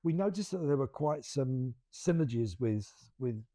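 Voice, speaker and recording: A man's voice: medium pitch, lively intonation, normal speed, dark tone, no room sound. A middle-aged man, co-hosting a casual podcast and rambling through many restarts with self-deprecating laughs. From England. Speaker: podcast co-host. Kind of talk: casual chat.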